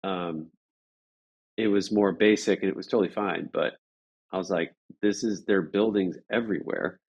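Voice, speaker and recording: A man speaking as an interview guest, telling a story casually in a halting, rambling way with repeated phrases. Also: low-pitched, darkish tone, husky, lo-fi sound.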